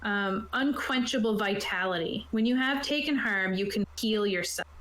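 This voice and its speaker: A woman's voice: mid-range voice, moderate pace, a bit bright, roomy sound, poor audio. Female game master, casual and chatty, explaining rules on an online call. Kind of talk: casual tabletop game prep, reading rules aloud. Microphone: own microphone on an online voice call.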